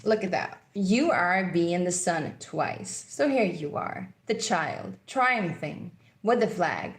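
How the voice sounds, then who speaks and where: A woman's voice: on the low side, expressive, slow pace, roomy sound. A woman with a Swedish accent gives a casual tarot reading. She meanders through her intuitions and repeats key phrases. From Sweden. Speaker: tarot reader.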